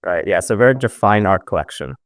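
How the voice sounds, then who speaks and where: A man's voice: on the low side, expressive, brisk, no echo, clean studio sound. A man hosting a casual live audio chat, asking upbeat questions with lots of fillers.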